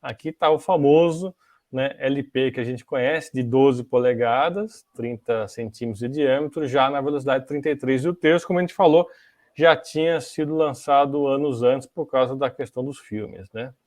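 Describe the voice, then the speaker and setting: A man's voice: medium pitch, normal speed, darkish tone, no room sound, clean studio sound. A Brazilian man hosting a podcast, explaining things in a casual, didactic way and often checking in with small tag questions. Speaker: podcast host. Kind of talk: casual explanatory talk on music technology history.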